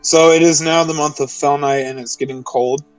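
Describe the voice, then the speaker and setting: A man's voice: fairly high pitch, steady tone, moderate pace. Distant-sounding man recapping a tabletop game. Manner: conversational, checking details with the others. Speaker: player in a tabletop roleplaying game. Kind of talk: casual recap of a game session. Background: music.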